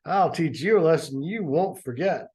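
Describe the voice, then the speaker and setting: A man voicing a drunken, abusive mother in a storybook reading, raising his voice to a shrill, threatening pitch. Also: expressive, moderate pace, a little mellow. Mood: menacing.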